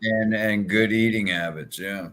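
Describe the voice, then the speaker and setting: A man's voice: fairly low pitch, fairly bright tone, slight background noise. A man in his fifties hosting a podcast interview, talking casually with hesitant fillers. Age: 50s. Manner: slow, hesitant, many fillers. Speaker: podcast host.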